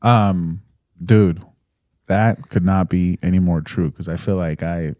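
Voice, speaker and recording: A man in his thirties co-hosting a podcast in casual chat, reading listener comments aloud in a relaxed, hesitant way with fillers. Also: low-pitched, slow pace, dry recording. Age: 30s. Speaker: podcast co-host.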